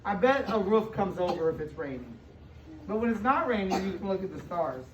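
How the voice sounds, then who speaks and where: A woman's voice: mid-range voice, sing-song, fairly quick, recorded from a distance. An American woman reads a storybook to young children in an echoey room, some way from the microphone. She stops to explain in simple words and asks questions, leaning on key words. The sound is slightly muffled with faint noise. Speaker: school superintendent reading aloud to children. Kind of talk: interactive picture-book read-aloud to young children. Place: indoor room with children present.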